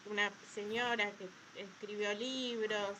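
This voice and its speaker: A woman's voice: medium pitch, fairly even intonation, unhurried, bright, forceful tone. A woman with a Buenos Aires Argentine accent, casually reminiscing as a co-host at a talk. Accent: Buenos Aires Argentine Spanish. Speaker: workshop teacher, co-host. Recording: noisy room with clear background noise.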